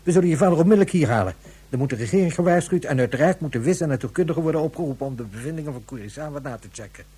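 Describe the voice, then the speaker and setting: A sceptical but fair psychiatrist in a radio drama. His voice is very husky and soft-edged, with a mellow, dark tone, and he speaks formally and thoughtfully. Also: a little high, expressive. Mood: excited, decisive. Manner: deliberate, formal, pensive. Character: measured, authoritative male psychiatrist and director, sceptical but fair. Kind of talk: radio play dialogue.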